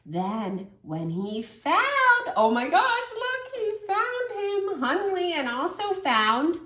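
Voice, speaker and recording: A woman's voice: extremely high pitch, takes it slowly. A woman in her thirties reading a picture book aloud to toddlers, stopping to ask them questions. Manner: warm, animated, asks children questions. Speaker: children's story reader and teacher. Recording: echoey room.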